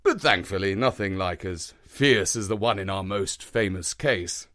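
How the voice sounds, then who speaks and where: Storybook sidekick, gentle and hesitant, slow and low, read by a man. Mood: puzzled. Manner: hesitant, fumbling, slow and polite. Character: mild, hesitant, kindly male doctor companion.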